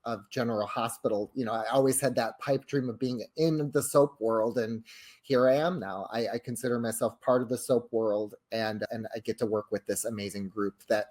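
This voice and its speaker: A man's voice: mid-range voice, fairly even intonation, normal speed, darkish tone. Male panelist, mid-range voice, casual delivery, earnest and reflective. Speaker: panelist on a behind-the-scenes show. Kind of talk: casual chat.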